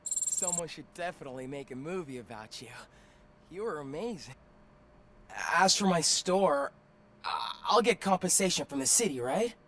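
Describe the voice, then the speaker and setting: An actor playing a grateful, admiring and slightly unsure shopkeeper in a video game. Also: male voice, fairly high pitch, expressive, normal speed, a bit bright, slight background noise. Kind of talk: video game cutscene acting.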